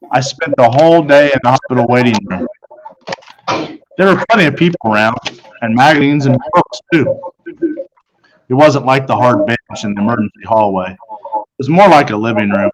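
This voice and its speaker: A man's voice: mid-range voice, rough recording. A man reading a first-person children's story aloud as its narrator. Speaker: storybook reader.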